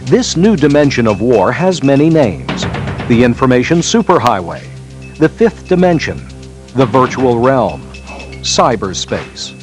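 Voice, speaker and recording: A man with a mid-range voice delivers formal narration for a 1990s military training film. His phrasing is measured and emphatic, in a scripted documentary style. Music plays behind his voice. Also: medium pitch, big pitch swings. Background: background music.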